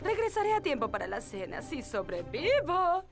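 An actress playing a flamboyant, self-important celebrity reporter in a cartoon, pompous and gushing in a theatrical way. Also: female voice, sits high, big pitch swings, moderate pace. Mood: grandly dramatic. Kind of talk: animated cartoon dubbing. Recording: music behind the voice.

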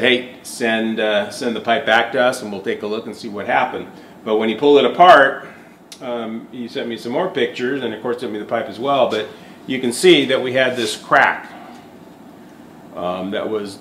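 A man's voice: fairly low pitch, a bit bright. A man in his fifties, a shop owner, talking candidly on camera in a workshop, thinking aloud with fillers and restarts. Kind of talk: casual on-camera explanation. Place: indoor workshop beside a welding machine. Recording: echoey workshop, faint background hum.

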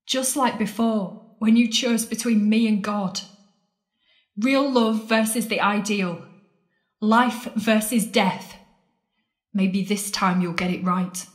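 A woman's voice: mid-range voice, steady tone, takes it slowly, natural voice. Actress as defiant worldly woman, audio drama, intense, sardonic, challenging, roomy echo. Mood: challenging, pleading. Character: Marrie, defiant worldly woman pleading with her lost love. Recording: echoey, roomy sound.

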